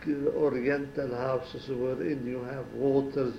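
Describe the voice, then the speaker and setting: A man in his seventies from Syria, a former ambassador giving an oral history interview at home, talking slowly and reflectively with pauses and restarts. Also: mid-range voice, little pitch movement, creaky, reverberant, muffled recording, a little hiss or hum. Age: 70s.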